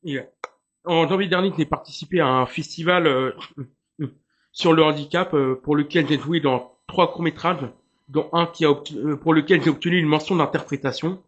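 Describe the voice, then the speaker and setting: A man, an actor and director, describing his work as an interview guest, speaking at a measured rate with fillers. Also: a little high, steady tone, a bit bright, lo-fi sound. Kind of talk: casual interview chat.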